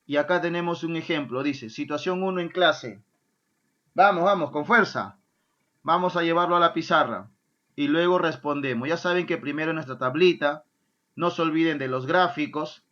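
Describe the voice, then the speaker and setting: A male math teacher gives a lively class. He keeps checking in with his students. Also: on the high side, moderate pace, highs cut off. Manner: lively, asks "¿ya?" and "¿correcto?" often, answers his own imagined student questions. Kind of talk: online math lesson.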